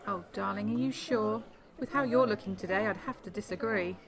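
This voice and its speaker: A woman's voice: mid-range voice, fairly quick, gravelly, low-quality recording. A woman playing a middle-aged mother who belittles her daughter in a sweetly patronising way, in a radio drama. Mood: critical, condescending. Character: condescending, critical mother of an eighteen-year-old daughter. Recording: party chatter behind the voice.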